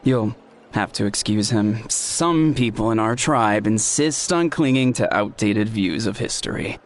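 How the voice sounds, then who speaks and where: A man's voice: on the low side, unhurried. A scoffing, skeptical tribesman. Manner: scoffing, sarcastic. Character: skeptical young tribesman, mocking and dismissive. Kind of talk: video game voice acting.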